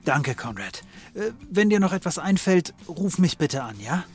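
An actor playing a hard-drinking occult detective in a comedy audio drama, speaking in a casual, probing, slangy way. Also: male voice, on the high side, very animated, normal speed, soft background music. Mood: businesslike. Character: male occult private detective, dry, hard-drinking, pale.

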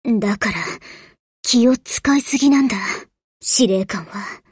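A woman voicing an aloof, stoic warship girl in an anime game, with a deadpan, restrained delivery. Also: on the high side, unhurried, breathy edge, no echo, clean studio sound. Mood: awkward, gruff.

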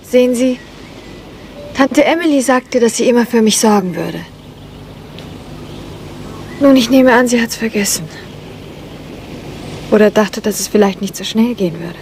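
Unloved young gentlewoman, high pitch, wistful, anxious, radio drama, faint background noise. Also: unhurried, long pauses. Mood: forlorn, hurt. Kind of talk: German radio crime drama acting.

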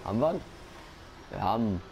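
Male let's-player, low gravelly voice, noisy capture, rambling jokes. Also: animated, normal speed, muffled recording. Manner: rambling, joking to himself. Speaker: gaming YouTuber. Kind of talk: casual let's-play commentary. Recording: noisy gameplay recording.

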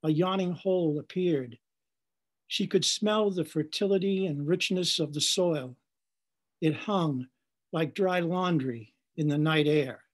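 A man giving a measured literary reading in short deliberate phrases at an online open mic. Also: fairly high pitch. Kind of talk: literary reading, descriptive narrative.

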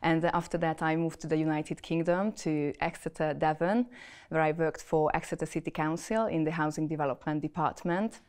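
Low smooth voice of a woman from Hungary. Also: fairly even intonation, darkish tone. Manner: careful, with frequent uh and um pauses. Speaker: property deal presenter. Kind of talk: pitching a deal to investors.

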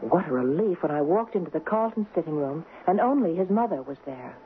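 An actress playing an earnest, hesitant young schoolteacher in love, in a 1970s radio drama. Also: female voice, deep voice, animated, a little fast. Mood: narrating, relieved. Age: young adult. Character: earnest young schoolteacher, a woman engaged to a rich heir. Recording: muffled, noisy 1970s radio broadcast.

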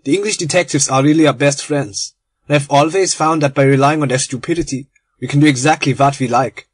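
A man's voice: fairly high pitch, fast talker, breathy edge, no room sound, studio quality. A man reading aloud, voicing a genial, proud little German bomb-maker in a storybook. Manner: cheerful, effusive, courteous.

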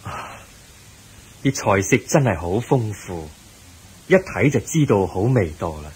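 A man with a Hong Kong Cantonese accent playing a kindly, wise Buddha in a radio drama, gentle, measured and instructive. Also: on the low side, big pitch swings, a little hiss or hum. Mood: polite, calm.

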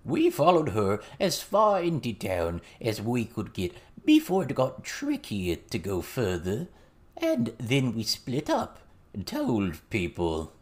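A man voicing a small male goblin in a storybook, with a froglike croak and slangy mock-aristocratic airs. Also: medium pitch, wildly swooping pitch, darkish tone, voice pushed up. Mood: shifty, explaining. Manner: slow, drawn-out delivery with pompous slang.

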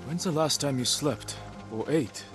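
An actor playing an earnest, composed young samurai lord in a video game, speaking in a calm, measured, solemn way. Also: male voice, a little high, music in the background, natural voice. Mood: concerned. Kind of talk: dramatic video game acting.

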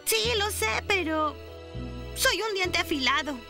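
Cartoon role: young male dinosaur, sweet-natured and unsure, very high child voice, over music. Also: expressive, unhurried, full character voice. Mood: dejected. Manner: childlike, earnest. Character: gentle, insecure, hopeful young male sharptooth dinosaur. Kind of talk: Spanish-dubbed children's cartoon acting.

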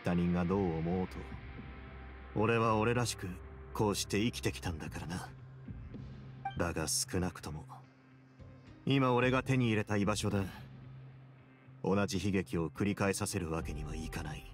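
A man playing a cool, brooding young fortress warden in a game, speaking in a measured, grave, self-possessed way. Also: sits low, soft background music, close to an everyday voice. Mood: resolute, somber. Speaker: game character. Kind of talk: dramatic game dialogue.

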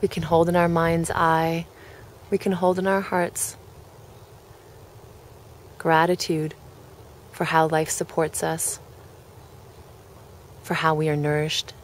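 A woman leading a guided relaxation, speaking gently in measured phrases with pauses between them. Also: low voice, flat delivery, a little hiss or hum. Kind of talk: guided relaxation, meditation narration.

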